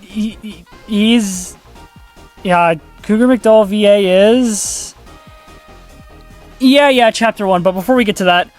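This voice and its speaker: Higher-pitched sarcastic man rambling casually. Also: slow, long pauses, soft background music. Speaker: YouTube commentator. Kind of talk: casual video commentary.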